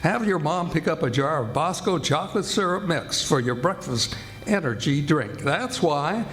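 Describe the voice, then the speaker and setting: High-pitched man announcing vintage American radio. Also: expressive, moderate pace, fairly bright tone. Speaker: announcer and host. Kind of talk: scripted 1940s radio announcing. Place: live stage performance.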